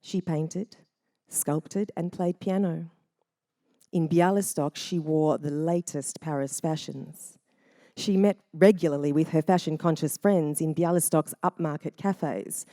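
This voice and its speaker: A woman narrating a family memoir in a measured, reflective first-person reading. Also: low voice, steady tone, mellow, no room sound, clean studio sound.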